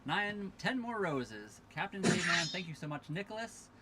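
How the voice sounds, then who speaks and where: A man's voice: high voice, sing-song, normal speed, very noisy. A tired young man casually chatting on a livestream from his sailboat at a marina, reading viewer questions aloud and thanking followers. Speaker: livestream host. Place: sailboat cabin at a marina dock.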